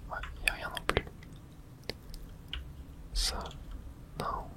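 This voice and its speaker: Someone whispering throughout an ASMR let's play, narrating the game and reading its texts aloud in a hush. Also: very slow. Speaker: host playing and narrating a game.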